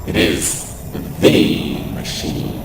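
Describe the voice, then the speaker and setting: A man voicing a solemn alien zealot who reveres a sacred machine, speaking slowly and reverently in a radio drama. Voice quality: gravelly, deep, hushed. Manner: slow reverent preaching. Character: solemn male alien zealot, true believer. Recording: echoey with loud background noise.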